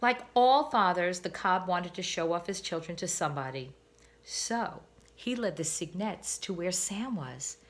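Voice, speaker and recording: A woman teacher reading a children's novel aloud, careful with small slips. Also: on the low side. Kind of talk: storybook read-aloud.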